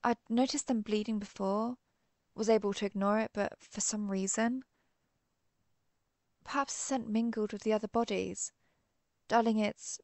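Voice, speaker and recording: A woman playing an earnest, conflicted vampire hunter who is herself a vampire, speaking formally with hesitant pauses in a dramatic audio roleplay. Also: mid-range voice, gravelly, no room sound. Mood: shaken, apologetic. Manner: formal, old-fashioned wording, hesitant pauses. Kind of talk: dramatic audio roleplay, one-sided dialogue.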